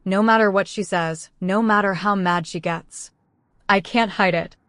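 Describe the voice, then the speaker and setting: A woman playing a frightened but determined pregnant teenage girl in a dramatic roleplay story, halting and emotional. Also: mid-range voice, fairly even intonation, fairly bright tone, close to an everyday voice. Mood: tentative resolve. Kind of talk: dramatic first-person roleplay story acting and narration. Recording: echoey, long reverb, music underneath.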